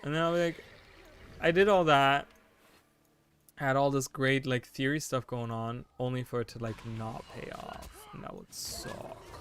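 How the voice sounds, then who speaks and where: A man's voice: medium pitch, fairly even intonation, unhurried, background noise. A man in his late twenties chatting casually while streaming a game, rambling and thinking aloud, full of "like" and "you know". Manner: thinking aloud, self-correcting. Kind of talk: casual chat while gaming.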